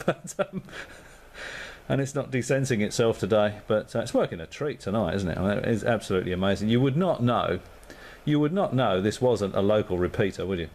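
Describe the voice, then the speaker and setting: Low-voiced man on ham radio. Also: animated, fairly quick, darkish tone. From Australia. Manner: rambling, thinking aloud with many fillers. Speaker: amateur radio operator. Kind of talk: casual radio chat.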